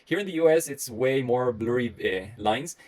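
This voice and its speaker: A man in his thirties from Costa Rica with a Costa Rican Spanish accent, a medical resident giving an earnest, rambling talk to camera with many pauses and restarts. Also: mid-range voice, lively intonation, darkish tone. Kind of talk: solo reflective talk to camera.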